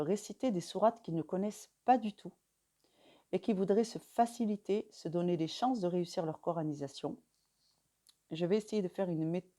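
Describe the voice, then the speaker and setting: Woman, middle-aged, lowish mellow voice, calm teacher pacing with frequent pauses, for a lesson read. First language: French. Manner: calm, measured, pausing often with fillers. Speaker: religious teacher. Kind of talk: instructional talk to camera.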